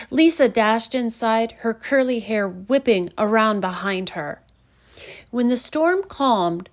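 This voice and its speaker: Female narrator for children's bedtime tales, higher register, animated intonation, unhurried storytelling.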